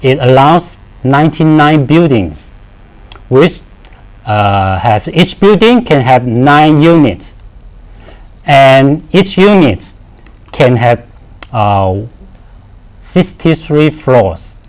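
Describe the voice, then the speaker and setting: Slow, halting man presenting product training. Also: medium pitch, big pitch swings, a little mellow. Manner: halting, repeats words, non-native-sounding simple English phrasing.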